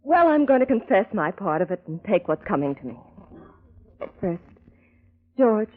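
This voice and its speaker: A woman's voice: mid-range voice, very animated, normal speed. An American woman acting an emotional, repentant young smuggler in a 1940s-style radio drama. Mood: tender, resigned. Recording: dull vintage radio recording.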